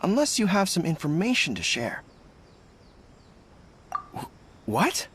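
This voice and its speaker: High-voiced, commanding young general. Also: male voice, huge pitch swings, a bit bright, breathy edge, full character voice. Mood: puzzled, guarded. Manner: formal, commanding, measured. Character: serious young male resistance general, stern, fair-minded. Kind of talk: video game voice acting.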